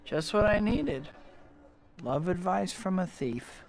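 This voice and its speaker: An earnest young hero. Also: male voice, sits high, animated, slow pace, vocal fry, exaggerated voice. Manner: earnest, polite, eager. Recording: video game cutscene with loud music.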